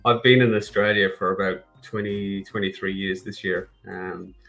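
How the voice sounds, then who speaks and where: A man's voice: sits low, steady tone, moderate pace, creaky, fairly bright tone, soft background music. A middle-aged man with a Kerry Irish accent, a guest in a casual podcast interview, joking at his own expense and restarting his sentences often. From Ireland. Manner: self-deprecating humor, frequent restarts and fillers.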